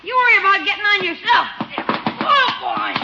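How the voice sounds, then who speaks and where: Very high-pitched cheeky American boy. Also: expressive, normal speed, gravelly, heavily acted. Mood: eager. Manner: brash, slangy, teasing. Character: cheeky, eager young boy, a teasing nephew. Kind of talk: 1950s radio sitcom acting. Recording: noisy, muffled 1950s radio recording.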